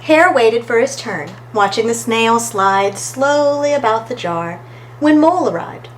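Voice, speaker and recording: A woman reading a children's story aloud as an expressive storytime narrator, recorded with faint background noise. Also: on the high side, measured pace, low-quality recording. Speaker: storytime reader.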